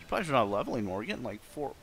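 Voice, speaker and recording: A man's voice: mid-range voice, sing-song, very fast, a bit bright. A man doing casual, sweary gameplay commentary as a video game streamer. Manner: informal, sweary. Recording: game music behind the voice. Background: video game music.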